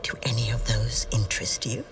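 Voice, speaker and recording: A woman playing a haughty, secretive keeper of arcane books in a video game, hushed and coolly condescending. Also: extremely low pitch, steady tone, brisk, recorded from a distance, music in the background. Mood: conspiratorial, smug. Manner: hushed, disdainful, clipped politeness. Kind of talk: dramatic video game acting.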